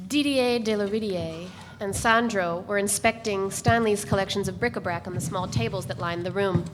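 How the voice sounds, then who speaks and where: A woman reading literary narration aloud at a steady, measured pace. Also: medium pitch, long reverb tail, background noise.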